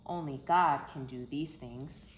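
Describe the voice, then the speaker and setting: A woman's voice: extremely low pitch, slow. A woman reading a children's picture book aloud expressively, with dramatic, emphatic phrasing. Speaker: storyteller for children. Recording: echoey room, faint background noise.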